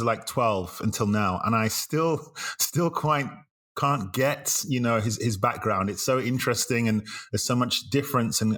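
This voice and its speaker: Lower-voiced man hosting a podcast. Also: a bit bright. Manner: chatty, friendly interviewer with fillers. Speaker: podcast host. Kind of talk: podcast interview.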